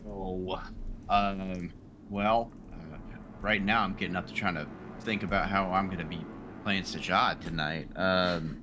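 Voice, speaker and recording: A man's voice: sits low, fairly even intonation, unhurried, noisy background. A man chatting casually as a player on a tabletop roleplaying show. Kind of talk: casual chat.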